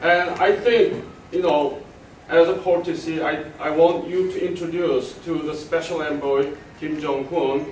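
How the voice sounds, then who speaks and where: A man hosts a ceremony, making formal introductions with the odd repeated word. His voice sits somewhat high and comes from a distance, with the room's echo around it. A noisy hum runs behind him. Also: fairly even intonation, a little mellow. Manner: formal, slightly halting, with repeated words. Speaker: ceremony host. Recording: echoey hall recorded from far off.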